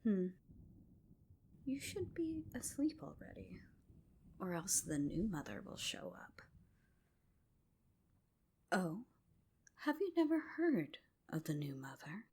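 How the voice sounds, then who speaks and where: A woman narrating a folk tale as ASMR, with a soft, whispery, hushed bedtime delivery. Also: fairly low pitch, very animated, slow, long pauses, slightly rough. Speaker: storyteller. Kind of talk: ASMR storytelling, scripted narration.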